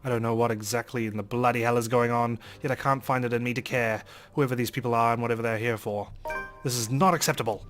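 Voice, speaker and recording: A man narrates a visual novel in the first person. His delivery is theatrical and expressive, like a dramatic storyteller, with soft music playing behind him. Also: medium pitch, fast talker. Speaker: let's play host reading a visual novel aloud.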